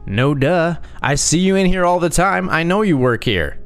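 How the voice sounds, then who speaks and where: Shrill entitled woman shopper, demanding and screeching, as a man puts her on, with the voice pushed up high and swinging wildly. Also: expressive, normal speed, clean tone, faint music behind. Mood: indignant.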